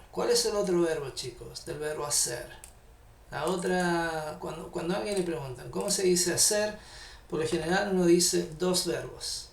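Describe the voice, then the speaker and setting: A man with a Chilean Spanish accent runs an English lesson at an unhurried pace, slowly spelling out example sentences. His voice sits somewhat high with a gravelly edge, picked up from a distance in an echoey room with faint typing behind. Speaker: English teacher. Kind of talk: live online English lesson.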